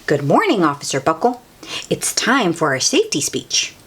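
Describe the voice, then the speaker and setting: A woman's voice: low-pitched, wildly swooping pitch, normal speed, a bit bright, breathy edge, pitched below the performer's own voice, full character voice. A woman voicing a polite, coaxing female school principal in a children's storybook. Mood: businesslike.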